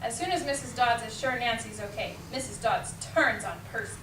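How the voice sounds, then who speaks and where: A woman's voice: on the high side, brisk, fairly bright tone. A woman reading scripted narration for amateur reader's theater. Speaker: teacher, narrator. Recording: echoey room, distant mic, faint noise.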